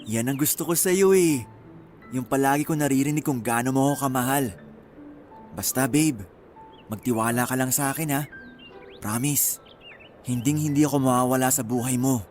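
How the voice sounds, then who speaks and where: An actor playing a pushy, sweet-talking young Filipino college boyfriend in a radio drama, coaxing and insistent. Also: male voice, mid-range voice, normal speed, long pauses, a little mellow, soft background music, natural voice. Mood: tender, reassuring. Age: young adult. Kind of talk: Tagalog radio drama acting.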